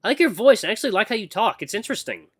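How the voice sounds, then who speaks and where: A man's voice: high voice, breathy edge, no room sound, studio quality, pitched above the performer's own voice, exaggerated voice. A man voicing a young apprentice runner who is flustered, sensitive and sweary, stammering and easily exasperated. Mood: gentle, earnest. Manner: stammering, expressive, quick to get exasperated.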